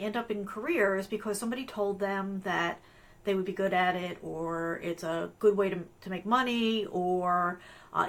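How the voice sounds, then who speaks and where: A woman's voice: medium pitch, fairly bright tone. Woman running a livestream, chatty and rambly, lots of ums and restarts. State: voice strained from allergies. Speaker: host of a live career webcast. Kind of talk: casual live-stream talk with screen sharing.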